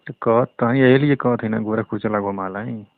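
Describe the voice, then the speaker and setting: A tinny phone recording of a man speaking in a low register with a Bhojpuri accent of eastern Uttar Pradesh. His voice is gravelly, and he chats in a casual, teasing, flirtatious way. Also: fairly even intonation, normal speed, dry recording. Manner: teasing, needling.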